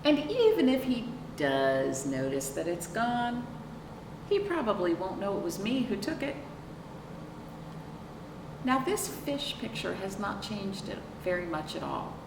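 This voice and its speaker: Middle-aged woman, children's librarian read-aloud: expressive and swooping, chatty asides, picked up by a far mic in an echoey room. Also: medium pitch. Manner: expressive, drawn-out emphasis and questions to young listeners. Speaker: children's librarian hosting storytime. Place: small indoor room, seated on a couch. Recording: roomy, distant mic with clear background noise.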